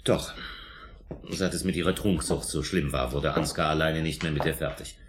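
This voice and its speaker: A man's voice: very deep voice, fairly even intonation, moderate pace, slight background noise. A man acting in a German radio drama as a defensive, indignant suspect under police questioning, emphatic and self-justifying. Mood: defensive, explaining.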